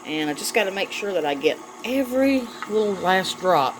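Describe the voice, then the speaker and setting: A woman's voice: medium pitch, lively intonation, normal speed. Chatty woman in a noisy kitchen. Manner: chatty, affectionate, addresses viewers directly. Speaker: home cooking vlog host. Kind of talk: casual chatty cooking demonstration. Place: home kitchen, indoors. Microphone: phone camera on the counter. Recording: noisy home kitchen with clattering dishes.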